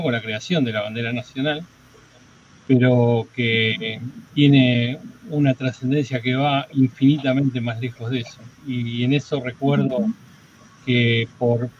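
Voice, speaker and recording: Slightly noisy, dull lo-fi audio carries an Argentine man's voice. He speaks at a medium pitch, explaining history informally and haltingly, like a participant sharing with a group. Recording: dull lo-fi sound with noise.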